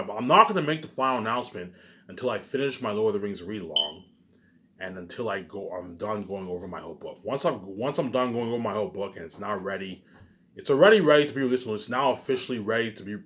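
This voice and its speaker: A man ranting alone to his viewers, profane and boastful, who keeps circling back with repeated words. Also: fairly low pitch. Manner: repetitive, keeps adding "obviously". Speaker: self-published writer vlogger. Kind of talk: rambling solo rant to viewers.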